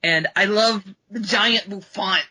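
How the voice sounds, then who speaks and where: Woman cohost, bright but kinda raspy, gushy and excited, casual chat. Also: mid-range voice, takes it slowly. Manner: gushing, enthusiastic. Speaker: podcast co-host.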